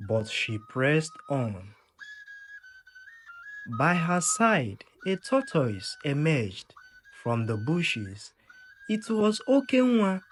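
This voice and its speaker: A storyteller narrating a scripted folktale in a dramatic, measured way with deliberate pauses. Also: medium pitch, a little hiss or hum. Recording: music under the voice.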